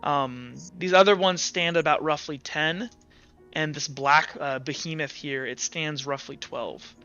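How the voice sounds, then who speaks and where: A man improvising narration as a game master in a casual, halting way, pausing between phrases. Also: a little high, bright, forceful tone, clean tone. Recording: dull lo-fi audio with background music.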